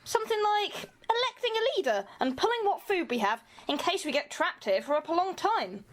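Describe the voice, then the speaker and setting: A woman's voice: extremely high pitch, very animated, fairly quick. A woman acting in an amateur radio play as a sensible, earnest peacemaker who is easily exasperated. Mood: reasonable.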